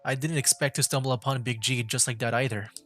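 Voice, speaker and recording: A man with a medium-pitched voice streams a game, musing out loud in a casual, halting way. Faint game music plays behind him. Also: steady tone. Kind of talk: casual livestream commentary.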